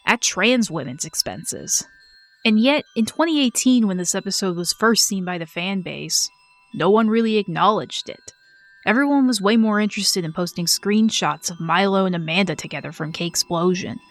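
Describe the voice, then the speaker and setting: A woman narrating a scripted video essay in a measured, earnest way. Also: fairly low pitch.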